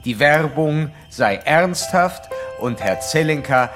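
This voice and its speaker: An actor playing an insistent psychoanalyst who lectures a patient in formal, didactic, old-fashioned German radio drama style. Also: male voice, a little high, expressive, slow, fairly bright tone, soft background music. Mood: lecturing. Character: male psychoanalyst doctor, insistent, certain, lecturing his young patient.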